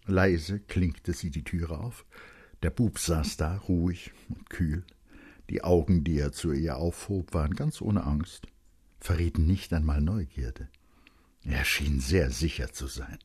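A man's voice: rumbling low, unhurried, a little mellow, husky. A man narrating a literary story as a scripted dramatic reading.

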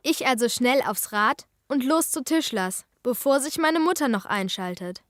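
A pert young girl chats away in a high voice. She is cheeky and expressive, a bike-loving kid from a children's audio drama, recorded close and dry. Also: moderate pace, dry recording. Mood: chatty, cheerful. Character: lively, cheeky girl detective who loves cycling. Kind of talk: children's radio play narration and dialogue.

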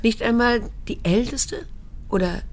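An actress playing a wounded yet restrained middle-aged daughter in a radio drama, speaking in a measured, sober, pointed way. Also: female voice, a little high, darkish tone. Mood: probing, reproachful. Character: probing, reproachful daughter in her late fifties, wounded yet restrained. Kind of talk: German radio drama acting and narration.

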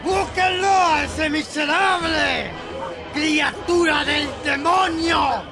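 A cruel plantation boss in a radio play, high-pitched and shouting in fury. He bellows orders and curses slowly, with music and a crowd behind him. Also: steady tone, vocal fry, full character voice. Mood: enraged, vengeful. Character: cruel, enraged plantation boss, wounded and commanding. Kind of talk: radio drama acting.

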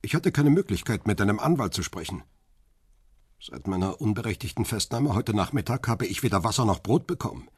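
Detained middle-aged paparazzo, radio crime drama: lowish rough voice, formal, insistent, defiant. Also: male voice, lively intonation, fairly quick, no room sound, clean studio sound. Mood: firm, defiant. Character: defiant, controlled middle-aged male paparazzo under interrogation.

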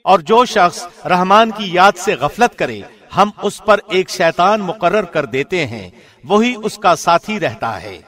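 High-voiced man reading scripture formally. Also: lively intonation, fairly bright tone. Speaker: translation reader, radio host.

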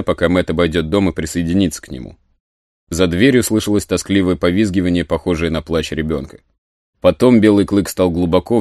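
A man's voice: low-pitched, darkish tone, no echo, studio quality. A man narrating a story as a scripted dramatic audiobook. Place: studio.

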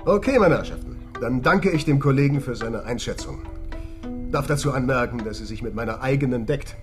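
An actor as an officious police chief. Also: male voice, medium pitch, over music, natural voice. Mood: officious, composed. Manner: formal, officious, brisk. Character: businesslike male police detective chairing a case briefing. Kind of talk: radio drama acting.

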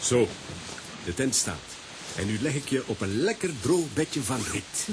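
An actor playing a caring, hapless adventurer who thinks aloud with exclamations in a children's radio play. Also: male voice, mid-range voice, very animated, measured pace, noisy background. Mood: tender, concerned. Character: excitable, caring, hapless male adventurer hero.

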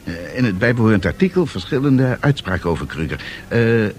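An actor playing an eager, excitable financier in a 1970s radio drama, emphatic with small hesitations. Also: male voice, lowish voice, a little fast. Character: excitable, impressed male financier citing press clippings.

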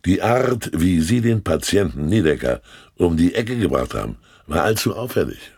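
A man's voice: deep voice, big pitch swings, moderate pace, vocal fry, a little mellow, husky, no echo, clean studio sound, full character voice. An actor playing a sly, condescending state official in a German radio play, suave and mockingly polite as he questions people. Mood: sly, probing.

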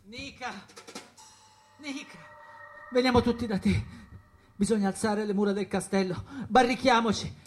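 An actor playing a tormented, restless jazz musician in a theatrical, impassioned radio monologue. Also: male voice, sits high, normal speed, long pauses, soft background music, close to an everyday voice. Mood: restless urgency. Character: tormented, drug-worn male jazz musician, restless and defiant.

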